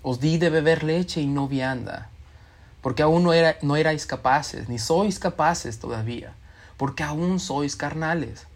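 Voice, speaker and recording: A man's voice: on the high side, unhurried. A man preaching a sermon, reading scripture and acting out quoted voices with expression.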